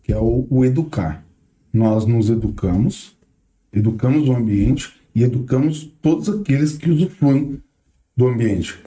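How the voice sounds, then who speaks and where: Very dark-voiced measured man. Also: mid-range voice, lively intonation. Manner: measured, deliberate, repeats for emphasis. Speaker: podcast co-host. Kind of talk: casual podcast chat.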